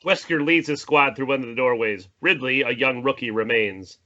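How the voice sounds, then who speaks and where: Bright-voiced man reading dramatic narration. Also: medium pitch, moderate pace, highs cut off. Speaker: narrator at a screenplay table read. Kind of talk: dramatic scripted narration, informal with asides.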